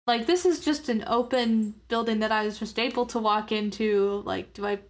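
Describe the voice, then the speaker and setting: A woman's voice: fairly high pitch, normal speed. A woman talking casually as a player in a tabletop game, asking questions and speaking for her character.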